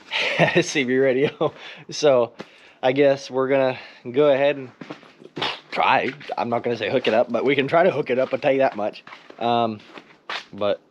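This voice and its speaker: A young man in his teens talks in a casual vlog style with a goofy, self-mocking manner. His voice sits at an average pitch. The recording has clear background noise with clicks, made while working around a truck. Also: muffled recording. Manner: goofy, self-joking, mimics sounds. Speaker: vlogger restoring an old truck.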